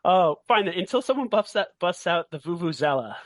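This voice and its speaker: A man's voice: high-pitched, big pitch swings, fairly quick, no echo, clean studio sound. A man bantering casually as a podcast co-host and game master.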